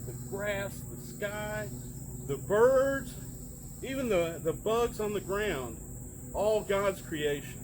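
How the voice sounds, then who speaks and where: Guy playing a friendly old-testament storyteller for kids, slightly high voice, chatty and asking questions, outside w/ noticeable bug noise. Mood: friendly, wondering. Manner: conversational, asks young listeners questions. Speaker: children's Bible storyteller. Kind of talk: children's storytelling. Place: outdoors among trees and plants. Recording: noisy outdoor recording with insect sounds.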